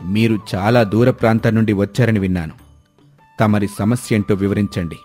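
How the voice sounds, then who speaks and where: A man voicing a calm, benevolent king who speaks formally in a cartoon moral story. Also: on the low side, brisk, soft background music, natural voice. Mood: measured authority. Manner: stately, formal.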